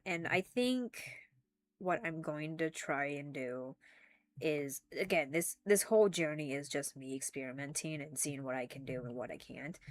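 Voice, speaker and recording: A woman's voice: deep voice, dry recording. A young woman streaming live, talking casually and rambling with frequent restarts and giggles, a little congested.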